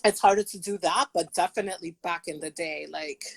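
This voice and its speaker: A woman with a deep voice, co-hosting a podcast in casual, affectionate chat. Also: fairly quick, gravelly, breathy edge. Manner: upbeat, affectionate, chatty. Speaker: podcast co-host.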